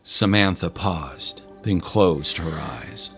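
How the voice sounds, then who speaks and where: A male narrator delivers scripted dramatic storytelling. His voice sits low, and music plays clearly behind it. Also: takes it slowly, a bit bright.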